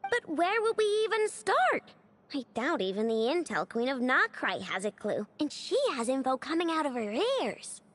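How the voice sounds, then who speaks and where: An actress playing an excitable, nosy, cheeky young girl in a video game, perky in manner. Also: high voice, normal speed, full character voice. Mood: doubtful, cheeky. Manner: perky, animated. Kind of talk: video game dialogue acting.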